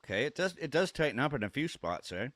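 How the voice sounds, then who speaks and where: A young man with a cold, sniffly and coughing, chatting casually and excitedly on a live stream, rambling and stumbling over his words. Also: medium pitch, quick pace, no echo, studio quality. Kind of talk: casual live-stream watch-along chat.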